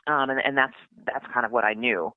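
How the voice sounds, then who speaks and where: A woman's voice: a bass voice, fairly even intonation, fairly quick, dry recording. A middle-aged woman from California, chatting as an interview guest, with frequent ums and restarts. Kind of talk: casual interview talk. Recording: tinny phone-line sound, dry.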